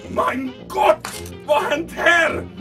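An actor playing an outraged, blustering German military officer in a comic audio drama, exclaiming melodramatically. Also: male voice, very high voice, steady tone, recorded from a distance, music in the background.

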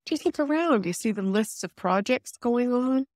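A woman leading a guided meditation, giving soothing, unhurried instructions with pauses between phrases. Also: a little high, expressive, darkish tone, no room sound, clean studio sound.

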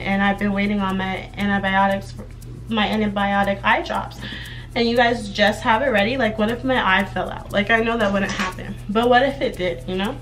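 A young woman chatting casually in a vlog update, a bit hoarse from laryngitis and rambling while she does her hair in her bedroom. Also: a little high, low-quality recording. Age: young adult. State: slightly hoarse from recent laryngitis. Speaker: vlogger. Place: bedroom with clothes rack, indoors.

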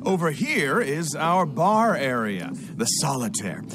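A fussy, eager-to-please casino host for a game cutscene. His voice sits fairly high and swings widely in pitch, formal and fawning with a flustered edge. Music plays clearly behind him. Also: big pitch swings, takes it slowly. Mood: showy, eager. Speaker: casino guest services director. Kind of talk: video game cutscene acting.

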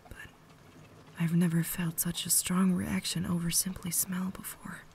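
A breathy, whispering vampire lady played by a woman, cultured and caring but holding herself back. Her delivery is formal and earnest, quite even in pitch, with a creaky edge. It is an ASMR roleplay with some faint noise behind the voice. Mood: tormented, confessional. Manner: formal, measured, earnest diction.

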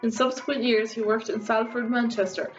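A woman's voice: on the high side, steady tone, brisk. A young woman giving a scripted educational narration as a student presenter. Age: young adult. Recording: slightly dull band-limited, faint music. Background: music.

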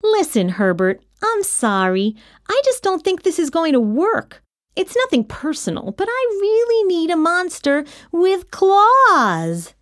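A woman's voice: extremely high pitch, very animated, unhurried, a bit bright, no room sound, studio quality, pitched above the performer's own voice, exaggerated voice. A woman voicing a picky, bold young child in a storybook, with a blunt, matter-of-fact manner. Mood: apologetic, picky.

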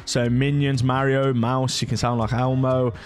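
A man in his thirties giving a casual software walkthrough as a gaming YouTuber. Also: mid-range voice, little pitch movement, moderate pace. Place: indoor home gaming setup.

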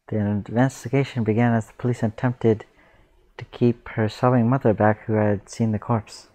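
A man reading aloud casually as a reactor, with spontaneous, expressive exclamations between the lines. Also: on the low side, dry recording.

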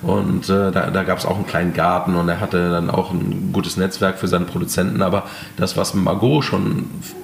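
A German man with a very low, deep voice, speaking slowly and hesitantly with frequent "ähm"s as he chats about his work as a chef. Faint background noise sits behind his voice. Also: level tone, gravelly. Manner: hesitant, many fillers. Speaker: chef, restaurant owner. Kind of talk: casual interview talk.

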